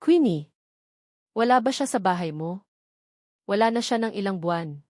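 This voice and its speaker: A woman's voice: medium pitch, lively intonation, normal speed, darkish tone, no room sound, clean studio sound, natural voice. Surprised aunt on a call, read by a woman. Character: bride's surprised aunt on the phone.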